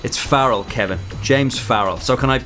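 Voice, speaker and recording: An actor playing a put-upon shop clerk of thirty-three, sullen, defensive and easily riled, in an audio drama. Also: male voice, mid-range voice, moderate pace, over music, close to an everyday voice. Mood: irritated.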